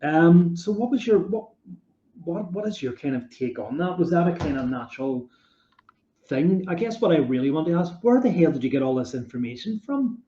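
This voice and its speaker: A man hosts a podcast interview, his voice mellow and relaxed. He rambles with enthusiasm, piling up fillers as he builds toward his questions. The sound is dull and roomy, as if recorded in an echoey room. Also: a little high, normal speed, slight background noise. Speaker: podcast host.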